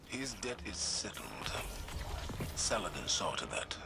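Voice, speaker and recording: A man playing a stern but caring, weary commander in a video game, measured and authoritative. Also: low-pitched, very animated, music in the background, heavily acted. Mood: weary restraint. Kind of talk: dramatic video game acting. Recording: clear ambient noise behind.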